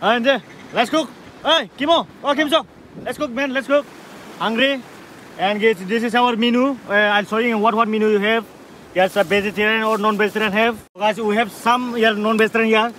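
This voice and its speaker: Male vlog host, young, Northeast Indian accent, sharp bright delivery, teasing banter, recorded outdoors with faint noise. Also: sits high, steady tone, fairly quick, low-quality recording. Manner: playful, teasing, repetitive. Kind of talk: casual joking chat. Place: open grassy campsite outdoors.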